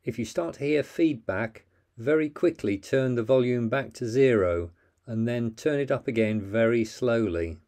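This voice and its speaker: A man's voice: mid-range voice, moderate pace, a little mellow. A man narrating a plain, methodical how-to voice-over, giving step-by-step instructions with the odd small slip.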